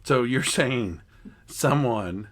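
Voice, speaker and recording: A man's voice: medium pitch, expressive, very slow. A man playing a jokey, incredulous reporter in an improvised mock news interview, skeptical and breaking into amusement. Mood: teasing. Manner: teasing, skeptical, breaks into laughter. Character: female TV news reporter Mary McBigbottom, folksy, incredulous, jokey.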